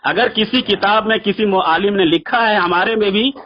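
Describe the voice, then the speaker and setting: Casting: husky-voiced man, fairly high pitch, insistent religious debater on a dull phone recording. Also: moderate pace. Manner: insistent, argumentative, repeats himself. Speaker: debater arguing a religious position. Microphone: phone call.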